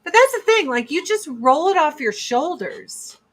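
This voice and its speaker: A woman in her forties with a deep voice, chatting bluntly and profanely on a casual livestream while doing her makeup. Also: big pitch swings, measured pace, fairly bright tone. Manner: blunt, profane, sarcastic, reads chat comments aloud. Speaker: livestream host.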